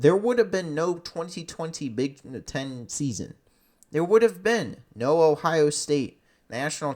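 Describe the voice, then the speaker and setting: Exasperated fan commentator for a sports rant; mid-pitched, very animated delivery, blunt, sweary and emphatic. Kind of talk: unscripted opinionated sports rant.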